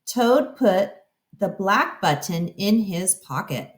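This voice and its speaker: A woman narrating a children's story as a teacher reading aloud, expressive and explanatory as if addressing children. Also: mid-range voice, takes it slowly.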